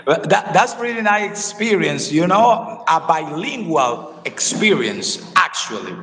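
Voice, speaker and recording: A man hosting an interview, asking halting questions and repeating words as he starts. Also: on the high side, very animated, fairly bright tone, a little hiss or hum. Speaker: interview host. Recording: long reverb with faint ambience.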